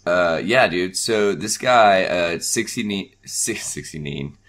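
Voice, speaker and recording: A young man co-hosting a podcast in casual chat, laughing often and halting with fillers. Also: sits low, expressive, a bit bright. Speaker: podcast co-host.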